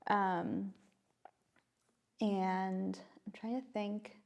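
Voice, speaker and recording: Soft-spoken woman guest with a creaky edge, hesitant and reflective, full of fillers and restarts. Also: medium pitch, level tone, drawn-out words. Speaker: playwright guest. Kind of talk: casual podcast conversation.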